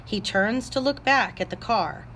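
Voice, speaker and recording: A woman's voice: medium pitch, unhurried, a bit bright, a little hiss or hum. A woman narrator reading scripted stage directions aloud.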